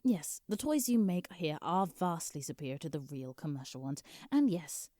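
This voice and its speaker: A woman's voice: sits low, animated, normal speed, dark tone, no room sound. A British woman playing an exasperated, businesslike lawyer in a scripted comedic audio drama, formal and dry. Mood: dry, explanatory. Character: exasperated, businesslike female lawyer for Santa Claus.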